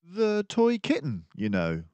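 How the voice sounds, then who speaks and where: A man's voice: a little high, huge pitch swings, takes it slowly, warm tone, clear, resonant tone, dry recording, muffled recording, voice pushed up, heavily acted. A man voicing an earnest, genteel mouse companion to a detective in a storybook, puzzled and admiring.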